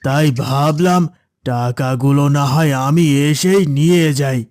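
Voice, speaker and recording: Casting: man as pushy cartoon village boss, higher-than-average male voice, domineering and wheedling, recorded dry. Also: measured pace, a little mellow, no room sound, close to an everyday voice. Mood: pressing, smug. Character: pushy, scheming village headman demanding his money. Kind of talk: Bengali cartoon voice acting.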